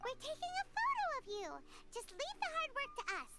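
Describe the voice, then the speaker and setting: A woman voicing a small, cheerful, childlike fairy companion in a video game, squeaky and encouraging. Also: sing-song, a little fast. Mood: eager, explaining. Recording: game music behind the voice.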